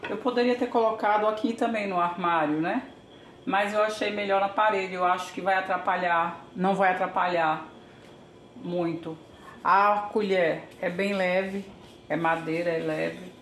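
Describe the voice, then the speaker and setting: A woman's voice: on the low side, steady tone, measured pace, fairly bright tone. An older woman from Brazil casually narrating a home how-to, chatty and thinking aloud while she works in her kitchen. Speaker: home vlogger. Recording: echoey kitchen, faint background hiss.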